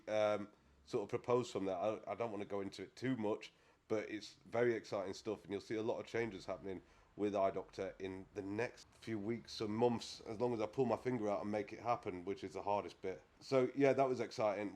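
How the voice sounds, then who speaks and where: A British man hosting a casual solo podcast, hoarse and nasal from a cold, chatting in a rambling, self-deprecating way. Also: fairly low pitch, darkish tone. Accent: British English. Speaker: podcast host.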